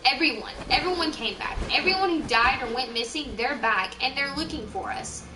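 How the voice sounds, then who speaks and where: A woman's voice: sits high, animated, normal speed, sharp tone. A woman voicing a frightened mother who pleads with the police over the phone, her speech panicked and pleading. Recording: echoey room, distant mic, music behind.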